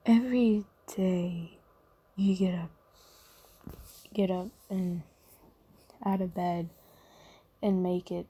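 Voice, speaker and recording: A woman as a breathy, mellow, gentle demon comforter. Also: mid-range voice. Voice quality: soft, breathy, half-whispered. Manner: gentle, hesitant, reassuring. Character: kindly apologetic female sleep paralysis demon, gentle comforter. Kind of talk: ASMR comfort roleplay.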